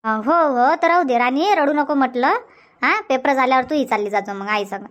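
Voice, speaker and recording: Woman voicing a high-pitched folksy village auntie. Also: normal speed, close to an everyday voice. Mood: reassuring. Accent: Varhadi Marathi from Vidarbha. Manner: folksy, inquisitive, reassuring. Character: nosy, reassuring village auntie, a grandmother. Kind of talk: cartoon comedy dubbing.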